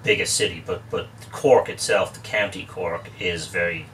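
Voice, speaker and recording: A deep-voiced Irish man from Dublin, in his forties, chatting as a guest with dry jokes and restarts. He sits a little back from the mic, and a faint hiss lies under the speech. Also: steady tone, normal speed, a bit bright, recorded from a distance. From Ireland. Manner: chatty storyteller, wry jokes, frequent restarts. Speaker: guest, real estate expert. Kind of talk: casual interview chat.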